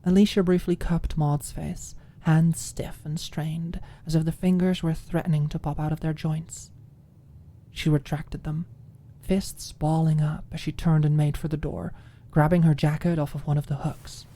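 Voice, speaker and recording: A woman narrates a dramatic ASMR audiobook. Her voice is low and dark, with a breathy edge that sinks toward a whisper. She reads deliberately and with expression. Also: level tone.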